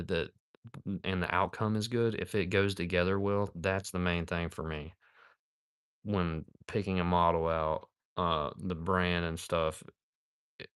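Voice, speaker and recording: A man's voice: sits low, fairly bright tone, clear, resonant tone, dry recording, clean studio sound. A man in his thirties chatting casually on a live stream while he builds a model kit, laid-back and rambling, calling viewers dude and man. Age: 30s.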